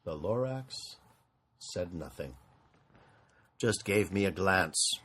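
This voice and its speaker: A man's voice: low-pitched, expressive, slow pace, fairly bright tone, breathy edge. A man reading a rhyming children's story aloud in a dramatic way, drawing out and repeating phrases. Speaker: storybook reader.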